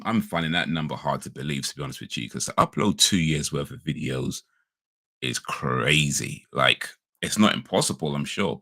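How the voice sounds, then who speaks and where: A man's voice: rumbling low, pushed and bright, no room sound, studio quality. A man hosting a casual livestream, rambling and correcting himself with laid-back slang, his voice a little crackly today. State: slightly crackly voice. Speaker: livestream host. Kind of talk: casual chat.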